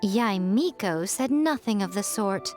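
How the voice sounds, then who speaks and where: A woman's voice: medium pitch, lively intonation, measured pace, close to an everyday voice. A woman voicing a sly teasing shrine priestess. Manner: playful, teasing banter. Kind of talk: video game dialogue. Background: soft game music.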